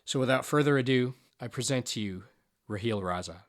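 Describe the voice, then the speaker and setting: A man's voice: medium pitch, brisk, over loud music. A man hosting a podcast, introducing his guest and asking questions with enthusiasm and a few stumbles. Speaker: podcast host.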